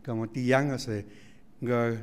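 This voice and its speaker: A man's voice: mid-range voice, steady tone, measured pace, clear, resonant tone. A man whose first language is Mazatec giving an earnest formal opening speech, piling up lists with many fillers. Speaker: director of a national institute, speaker. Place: auditorium. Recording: lecture hall with long reverb.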